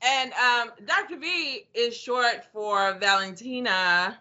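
A woman's voice: fairly high pitch, slow pace, muffled recording, heavy background noise. A woman co-hosting a podcast in casual, rambling chat with many restarts. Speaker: podcast co-host.

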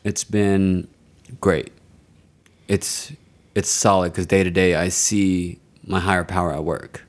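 A young man chatting casually on a podcast and telling animated, profane stories in a booming voice. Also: slow. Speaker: podcast guest.

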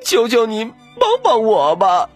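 A man voicing a desperate poor peasant who pleads in a high, sobbing wail. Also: wildly swooping pitch, unhurried, soft background music, exaggerated voice. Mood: pleading. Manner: high wailing pleas, near sobbing.

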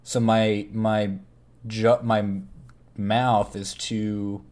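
A man's voice: on the low side, level tone, extremely slow, a little mellow. A young man from Texas with a slight Texas twang, a podcast co-host in rambling casual chat. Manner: chatty, rambling, looks things up mid-talk.

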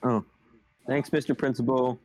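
A man's voice: mid-range voice, little pitch movement, normal speed, low-quality recording. Man bantering at the game table. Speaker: player. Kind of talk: casual joking game banter.

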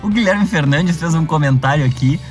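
Chatty gaúcho podcast host. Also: male voice, fairly high pitch, a little fast, faint music behind. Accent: southern Brazilian (gaúcho). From Brazil. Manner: conversational, many fillers and self-restarts. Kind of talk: casual podcast monologue.

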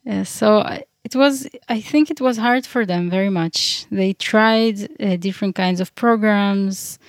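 A woman in her thirties, originally from Ukraine, speaking as a guest in a casual interview and pausing often with fillers while she searches for words. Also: mid-range voice, clean tone, no room sound, studio quality. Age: 30s. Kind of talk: casual conversation.

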